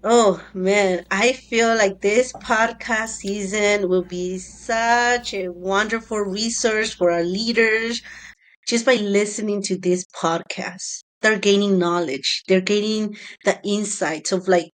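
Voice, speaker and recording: A woman's voice: medium pitch, steady tone, slow. Woman chatting on a podcast, mid-range voice. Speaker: podcast panelist. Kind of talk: casual podcast discussion.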